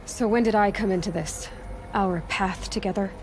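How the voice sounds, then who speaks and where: A woman's voice: mid-range voice, moderate pace, natural voice. An actress playing a young female hunter in a video game, bold and skeptical, with a pointed, sardonic and challenging way of speaking. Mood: questioning. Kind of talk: video game cutscene acting. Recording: clear background noise and ambience.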